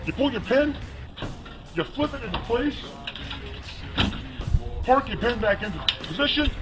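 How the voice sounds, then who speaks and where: A middle-aged man giving an infomercial sales pitch as an inventor, excitable and bellowing, pushing hard for the sale. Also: unhurried. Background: music.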